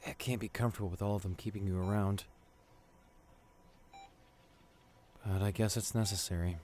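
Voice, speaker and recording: A man playing a devoted, grieving boyfriend at a hospital bedside in an intimate ASMR roleplay, speaking tenderly and haltingly with emotion. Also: on the low side, dark tone, close to an everyday voice. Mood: tender, wistful. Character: devoted, grieving boyfriend visiting his comatose partner in hospital.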